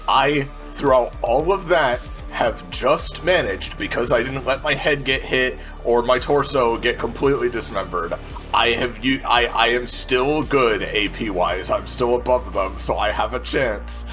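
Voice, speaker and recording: A man's voice: medium pitch, unhurried, fairly bright tone, soft background music. A man playing a tabletop role-playing game, thinking aloud, repeating himself and swearing casually as he talks through his moves and narrates his character.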